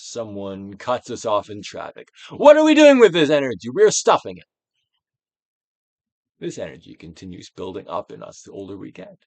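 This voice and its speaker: A man giving a dramatic, theatrical reading of a self-help book, moving between hushed near-whispers and emphatic projection, with deliberate pauses. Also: sits low, vocal fry, slightly rough, dry recording. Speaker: audiobook reader, actor.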